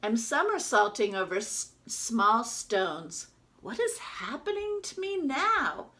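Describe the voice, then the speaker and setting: Woman as a wistful toy duck, bright and slow. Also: a little high, very animated, full character voice. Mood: wistful wonder. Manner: dreamy, earnest storytelling. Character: wistful, wonder-filled bathtub toy duck telling its tale.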